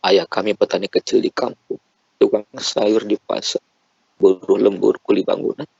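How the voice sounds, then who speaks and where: A man's voice: lowish voice, flat delivery, normal speed, vocal fry, a little mellow, slightly rough, no echo, muffled recording. A man leading a congregation in an emotional prayer, pleading fervently with repeated invocations. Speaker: prayer leader.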